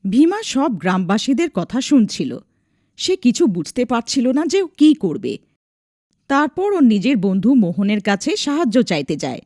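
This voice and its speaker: A woman's voice: on the high side, big pitch swings, normal speed, a little mellow, no echo, clean studio sound. A woman narrator reading a scripted folk tale in a storytelling style.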